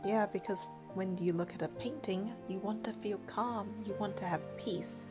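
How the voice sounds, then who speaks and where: A woman's voice: medium pitch, normal speed, over music. A woman reading game narration and dialogue aloud, with casual commentary of her own.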